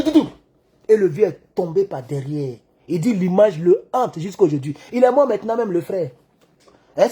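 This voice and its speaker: A man giving an animated political monologue, acting out the dialogue in his stories. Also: high voice, breathy edge. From Côte d'Ivoire. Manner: excitable storyteller who acts out dialogue. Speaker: online political commentator.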